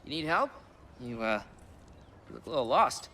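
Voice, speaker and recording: An actor playing a friendly, curious, excitable young refugee man in a video game, chatty and awkward, often stopping and starting. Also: mid-range voice, normal speed, slight background noise. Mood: casual, helpful. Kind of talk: naturalistic video game acting.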